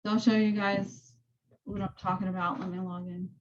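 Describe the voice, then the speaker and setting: A woman with a soft voice, casually walking her real estate team through training tools on a call. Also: mid-range voice, little pitch movement, brisk, lo-fi sound. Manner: chatty, rambling explanations. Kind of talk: casual team meeting walkthrough.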